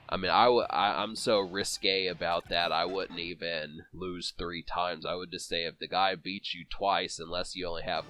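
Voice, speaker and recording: Low, stuffy-nosed male game commentator. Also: bright, forceful tone. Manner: rambling, many fillers and restarts. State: congested from a cold, sniffling. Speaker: let's play commentator. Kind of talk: casual gameplay commentary.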